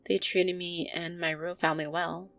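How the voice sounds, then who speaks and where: Woman acting a low, creaky-voiced vampire girlfriend. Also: little pitch movement, normal speed, fairly bright tone, faint music behind. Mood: earnest, reminiscing. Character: affectionate, easily flustered female vampire girlfriend, centuries old. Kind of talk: intimate audio roleplay, first-person storytelling to a listener.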